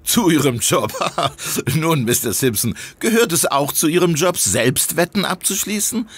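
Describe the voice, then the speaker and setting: An actor playing a smug, hectoring police inspector in an audio drama, pressing and accusatory, with some ambience behind him. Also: male voice, fairly high pitch, very animated, measured pace. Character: triumphant, hectoring male police inspector interrogating suspect.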